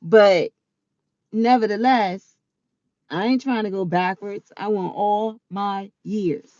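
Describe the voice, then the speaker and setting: A woman around forty telling a personal story in a chatty, animated way, quick to laugh. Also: mid-range voice, no echo, highs cut off. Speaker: vlogger.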